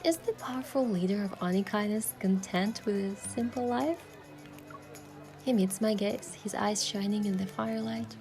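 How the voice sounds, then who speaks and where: A female actor plays a young heroine telling her own love story in a romance game. Her mid-range voice is soft and lyrical, moving between playful and tender. Music sits behind her. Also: mid-range voice, normal speed, vocal fry, natural voice. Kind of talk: scripted first-person narration and dialogue in romance game.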